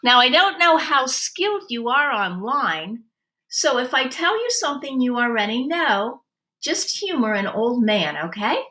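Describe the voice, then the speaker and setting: A woman voicing a kindly, patient older male children's librarian in a storybook, with a gently humorous, helpful manner. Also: high-pitched, very animated, unhurried, pitched above the performer's own voice, exaggerated voice. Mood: humble, joking. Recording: roomy, distant microphone.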